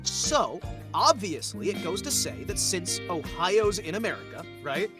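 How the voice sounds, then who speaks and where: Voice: mid-range voice, big pitch swings, measured pace. A guest talking in character, rambling and mock-earnest, stammering through restarts. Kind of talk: comedic in-character chat as an alien conqueror. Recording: background music under voice.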